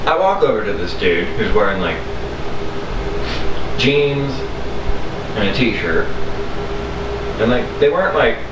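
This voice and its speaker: A man telling a personal story casually, in a halting way with frequent pauses. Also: low-pitched. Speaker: storyteller, video host. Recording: roomy echo, distant mic, background hum.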